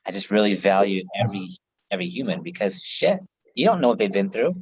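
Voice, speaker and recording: A man's voice: lowish voice, very animated, quick pace, breathy edge, dull audio. An American man chatting casually on a live video call, laid-back, laughing often and swearing freely. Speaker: host.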